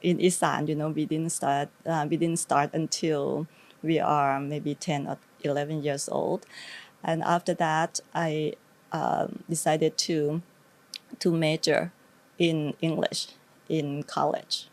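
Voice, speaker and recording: A woman's voice: sits low, flat delivery, slow. A middle-aged woman from northeast Thailand, a native Lao speaker with a Thai accent, giving conversational interview answers.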